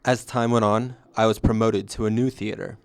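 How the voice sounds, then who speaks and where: Male actor as reflective storyteller, scripted narration, slightly deep, fry in the voice. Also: steady tone, moderate pace, lightly acted. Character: former usher recounting his rise, reflective. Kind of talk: scripted first-person narration.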